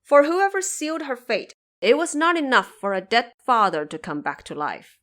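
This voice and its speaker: A woman delivering scripted podcast commentary as host, in an expressive, pointed and rhetorical manner. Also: a little high, normal speed. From Cantonese-speaking region.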